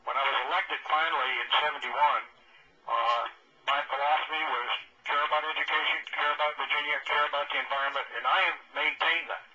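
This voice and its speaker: A man in his seventies gives deliberate, earnest political remarks. His voice is very bright and sits a little high. It comes through a dull, distant phone line. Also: steady tone. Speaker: former elected politician. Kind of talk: conference call remarks. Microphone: telephone conference call.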